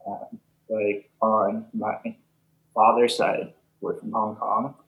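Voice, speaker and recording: A young man from Canada, a band member answering interview questions in soft, halting casual talk full of fillers. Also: lowish voice, little pitch movement, narrow, tinny audio. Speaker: band leader, interview guest. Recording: echoey room.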